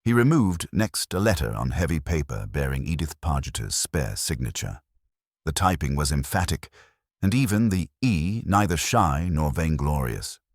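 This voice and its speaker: A man narrating a literary mystery from a script in a measured, dryly wry manner. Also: a bass voice, no echo, studio quality.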